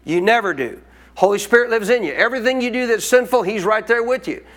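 A man's voice: sits high, quick pace, fairly bright tone. A man preaching a sermon as pastor, teaching in a colloquial call-and-response manner.

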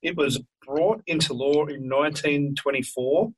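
A man's voice: fairly high pitch, unhurried, slightly rough, low-quality recording. A man co-hosting, reading out light trivia in a conversational setting. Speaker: co-host.

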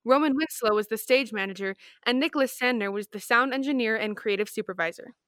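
A woman's voice: on the high side, quick pace, a bit bright, no echo, studio quality. A young woman reading scripted announcements as a radio drama announcer. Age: young adult.

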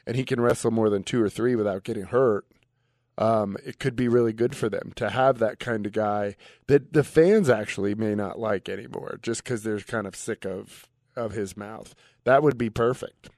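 A man's voice: lowish voice, moderate pace, a little mellow, dry recording, studio quality. A man co-hosting a radio show, giving his opinions in casual sports talk. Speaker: radio co-host.

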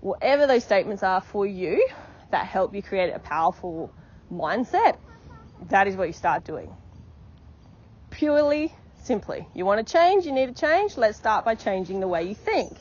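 A woman's voice: medium pitch, big pitch swings, normal speed, breathy edge, highs cut off. A woman life coach giving an encouraging, repetitive motivational podcast talk, often checking in with "okay?".

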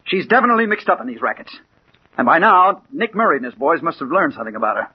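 An obsessed, urgent hunter in a 1940s radio mystery. His delivery swings widely in pitch and is dramatic and intense, on a dull old recording with some hiss. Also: big pitch swings, quick pace. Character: obsessed, agitated man named Storm hunting a mysterious woman. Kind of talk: 1940s radio mystery acting, first-person narration and dialogue.

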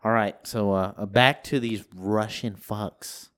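Deep voiced dude on a podcast, rambling, crude jokes, lots of uhs. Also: male voice, fairly even intonation, unhurried, a bit bright. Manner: rambling, crude, joking, many fillers. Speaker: podcast host. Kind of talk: casual podcast banter. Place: home studio.

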